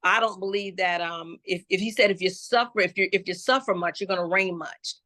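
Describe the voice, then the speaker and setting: A woman from Florida, a gospel hip-hop artist, telling her life story slowly and reflectively in an interview, with many restarts. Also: on the low side, sharp tone, no echo, studio quality. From Jacksonville, Florida. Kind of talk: personal life testimony in an interview.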